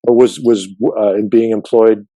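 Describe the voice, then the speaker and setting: A man's voice: fairly low pitch, steady tone, a little slow, dark tone, no room sound. A man whose first language is Farsi, hosting a casual interview in a chatty, joking way with many fillers. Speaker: podcast host.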